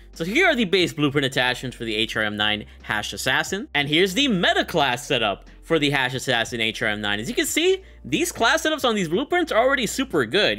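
Animated, bright-voiced young man hyping games. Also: medium pitch. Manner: hyped, slangy. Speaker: gaming video host. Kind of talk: casual commentary on a game showcase.

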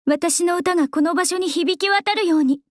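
An actress voicing a young female idol singer in a game, calm and composed, soft-spoken and earnest. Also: very high-pitched, normal speed, no echo, clean studio sound. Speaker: game character voice. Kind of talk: game voice lines. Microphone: close studio mic, dry.

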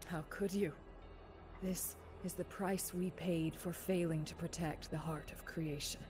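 Actress as an earnest, low-voiced warrior woman. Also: fairly even intonation, a little slow, lightly acted. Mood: sorrowful. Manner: solemn, determined. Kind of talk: fantasy video game voice acting. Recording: game music behind the voice.

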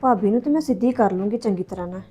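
A woman's voice: mid-range voice, animated, very fast, dark tone, husky. Sandeep, a woman playing a wailing scheming sister. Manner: wailing, pleading. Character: scheming young sister faking despair. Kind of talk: Punjabi family drama acting.

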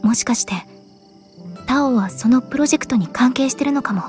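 A woman voicing an earnest, pensive young woman in an anime-style game, speaking in a serious, measured way. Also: sits high, a little mellow, soft background music, lightly acted. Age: young adult. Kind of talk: Japanese anime game voice acting.

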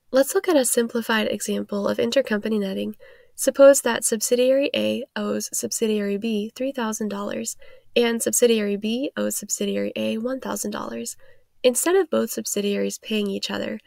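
Woman doing explainer voiceover, bit of vocal fry, dry close sound, instructional. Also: on the high side, a little mellow, no echo. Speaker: tutorial narrator. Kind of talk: scripted explainer narration.

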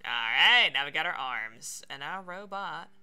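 A woman's voice: low voice, animated, a little slow, pushed and bright, clean tone. A woman doing casual Let's Play commentary, chatting and thinking aloud as she plays. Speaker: streamer playing a video game.

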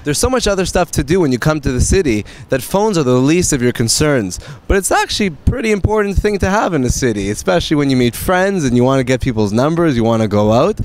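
Lively young man hosting a guide. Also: medium pitch. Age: 20s. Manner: lively, friendly, addressing viewer. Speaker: student host. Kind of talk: upbeat guide to camera.

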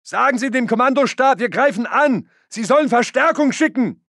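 An actor playing an aggressive, urgent German Wehrmacht soldier barking commanding battle orders in a video game. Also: male voice, extremely high pitch, lively intonation, moderate pace, a bit bright, dry recording, studio quality, heavily acted.

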